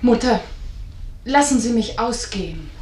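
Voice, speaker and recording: The echoing acoustic frames a proud eldest daughter. Her high voice is curt and haughty, a prickly radio drama character eager to be gone. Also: lively intonation, a little slow, a little mellow. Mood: defiant. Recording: reverberant room.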